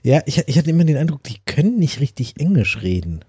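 Man, podcast co-host, husky, mellow, short dry comments. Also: a little high, sing-song, a little fast, no room sound. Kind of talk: casual chat.

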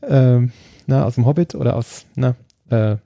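A man's voice: mid-range voice, fairly even intonation, normal speed, vocal fry, dark tone, no echo, highs cut off. A man chatting casually about films with friends, talking in a loose, hesitant way with many fillers and small laughs. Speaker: podcast co-host.

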